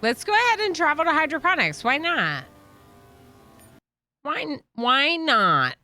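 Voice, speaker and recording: A woman's voice: high voice, very animated, a little slow, pushed and bright, faint music behind. A woman live-streaming a game, chatting casually with viewers as she plays and reads along. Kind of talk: casual live-stream chat while playing a role-playing game.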